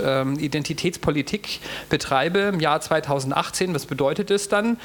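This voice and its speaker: A man speaking on a panel discussion, making a deliberate, argumentative statement with frequent fillers. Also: fairly high pitch.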